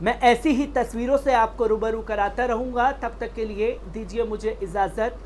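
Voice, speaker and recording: A woman's voice: on the high side, steady tone, moderate pace, noisy background. A woman presenting a news report with narration and commentary.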